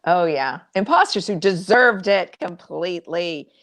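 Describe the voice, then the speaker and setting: A woman's voice: lowish voice, lively intonation, measured pace, fairly bright tone. A woman hosting an interview, asking questions in an informal, somewhat hesitant conversational way. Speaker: interviewer, event host.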